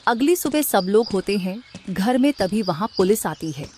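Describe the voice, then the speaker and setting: A woman's voice: medium pitch, lively intonation, normal speed, darkish tone, slight background noise. A woman reading scripted narration for a story as its narrator.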